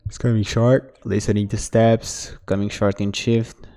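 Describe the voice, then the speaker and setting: A man, a native Portuguese speaker, talks a player through their mistakes in a relaxed coaching tone. His voice sits low and sounds smooth and mellow. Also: measured pace. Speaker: game coach. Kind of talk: casual demo review commentary.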